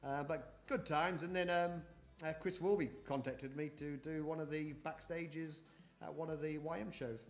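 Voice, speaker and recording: A man's voice: fairly high pitch, steady tone, normal speed. A middle-aged man from New Zealand who moved to the UK as a child, chatting and rambling through memories as an interview guest. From born in New Zealand, moved to the UK aged eight. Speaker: podcast guest. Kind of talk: casual interview, reminiscing.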